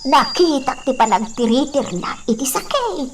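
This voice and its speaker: A woman's voice: high voice, lively intonation, moderate pace. A woman playing a concerned, caring elderly grandmother in an Ilocano radio drama, recorded slightly off-mic. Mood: knowing. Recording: faintly noisy, distant microphone.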